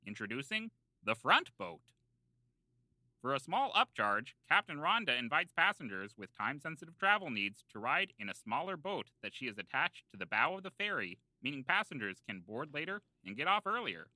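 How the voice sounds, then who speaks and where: A man with a very bright, forceful voice works as a podcast co-host. His delivery swings up and down with hammy, salesman-like emphasis, and the recording is close and dry. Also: medium pitch, sing-song, moderate pace, no echo, low-quality recording. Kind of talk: comedy ad read and casual chat.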